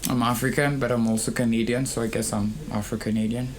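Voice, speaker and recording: A young man from Kenya co-hosting a student podcast, speaking thoughtfully with frequent pauses and fillers. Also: mid-range voice, little pitch movement, a little hiss or hum. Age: young adult. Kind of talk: casual conversation.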